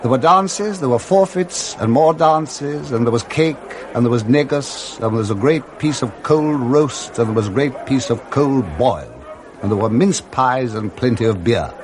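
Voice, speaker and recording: Lively English male storyteller, animated range. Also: medium pitch, moderate pace, noisy background. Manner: expressive, theatrical. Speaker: narrator. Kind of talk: dramatic scripted storytelling, 1960s British radio drama.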